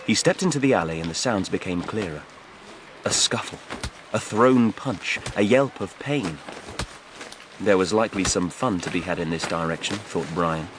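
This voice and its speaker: A man's voice: sits low, noisy background. A man reading fiction as a dramatic audiobook narrator, from a script.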